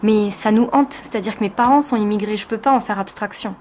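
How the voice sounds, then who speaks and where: A woman's voice: medium pitch, darkish tone, a little hiss or hum. Thoughtful, hesitant French-born woman. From France. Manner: hesitant, thoughtful, many filler pauses. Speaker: interviewee, philosophy teacher. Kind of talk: reflective personal testimony.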